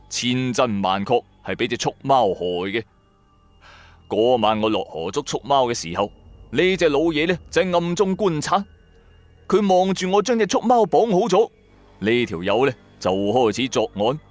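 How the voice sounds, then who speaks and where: A man with a Cantonese accent narrating a supernatural tale in the first person, dramatic, colloquial and expressive. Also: medium pitch. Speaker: storyteller narrator.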